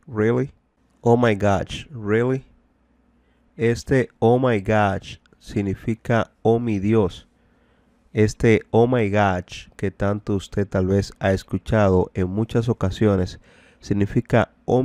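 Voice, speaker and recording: Guy teaching english bilingually, low voice, really slow and deliberate, repeats phrases, dry close sound. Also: fairly even intonation, warm tone, no room sound. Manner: deliberate, repetitive, explanatory. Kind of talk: bilingual language lesson, translating and repeating phrases.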